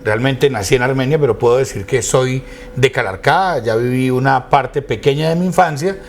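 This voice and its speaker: Medium-pitched man, Colombian accent, eloquent formal phrasing, reflective storytelling, faint noisy ambience. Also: moderate pace. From Quindío, Colombia. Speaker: interview guest, university lecturer and radio director.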